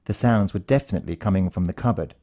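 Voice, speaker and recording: British male narrator, low and very mellow, for suspenseful first-person fiction. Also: fast. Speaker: audiobook narrator.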